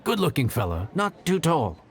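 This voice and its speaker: A man's voice: fairly high pitch, huge pitch swings, moderate pace. A man playing an affable, easily flustered inn cook in a video game, talking casually and hesitantly. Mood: chatty, confiding. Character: affable, flustered male inn cook, modest yet boastful. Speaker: game character, inn chef.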